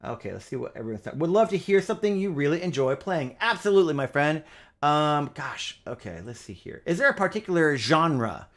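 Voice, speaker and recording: A man's voice: a little high, sing-song, brisk. Chatty upbeat man hosting a livestream. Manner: upbeat, chatty, frequent filler words. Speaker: livestream pianist host. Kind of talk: casual livestream chat.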